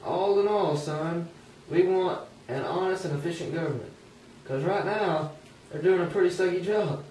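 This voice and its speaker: A man's voice: high voice, normal speed, darkish tone, close to an everyday voice. A man playing a disgruntled old-time American working man in an amateur puppet show. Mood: earnest. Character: disgruntled 19th-century American working man, sceptical of politics. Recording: echoey room, distant mic, faint noise.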